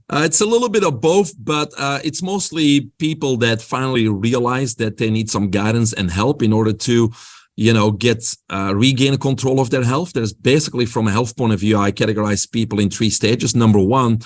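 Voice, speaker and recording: A man's voice: medium pitch, moderate pace. A man with a Belgian accent, a guest on a podcast interview, explaining his health coaching with an upbeat motivational-speaker delivery.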